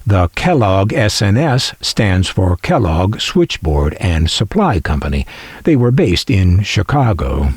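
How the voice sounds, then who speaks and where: Male narrator, slow-paced and expressive, wry and folksy, close dry sound. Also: low voice, husky, dry recording. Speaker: collector and narrator. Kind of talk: scripted narration.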